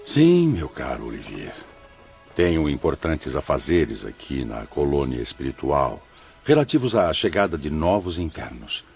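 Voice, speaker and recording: A man acting a dignified spirit superior in an audiobook drama, speaking in a formal, measured, authoritative way. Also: rumbling low, wildly swooping pitch, creaky, a little mellow, highs cut off, faint music behind, heavily acted. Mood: composed authority.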